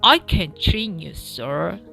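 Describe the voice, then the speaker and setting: Lady playing a little mouse dentist guy in a kids book, polite but firm, bouncy pitch, music under. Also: expressive, takes it slowly, gravelly, sharp tone, heavily acted. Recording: background music behind the voice.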